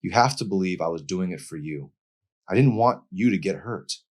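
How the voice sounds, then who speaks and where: A man's voice: deep voice, expressive, normal speed, a little mellow. A man voicing a guilt-ridden, earnest, defensive teenage boy in an audiobook. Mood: pleading, defensive.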